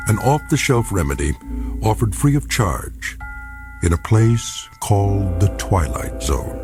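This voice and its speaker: A very deep male narrator delivers radio-drama narration. He is grave, deliberate and ominous, with music clearly playing beneath him. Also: big pitch swings.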